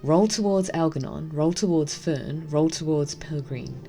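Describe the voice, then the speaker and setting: A woman's voice: low voice, unhurried, vocal fry, music in the background. A woman hosting a gaming video, casually commenting and reading the story text aloud. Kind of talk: casual game commentary and read-aloud narration.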